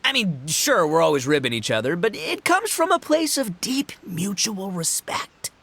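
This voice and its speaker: A man's voice: high-pitched, sing-song, moderate pace, fairly bright tone, slight background noise, heavily acted. A man voicing a brash, boastful young gang boss and rocker in a video game, with cocky, boisterous, slangy delivery. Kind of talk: animated video game voice acting.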